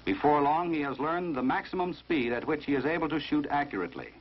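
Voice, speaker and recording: An American man reading scripted narration for a 1950s instructional film in a formal, measured, authoritative manner. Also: a little high, expressive, a little hiss or hum. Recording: dull, muffled vintage 1950s film soundtrack.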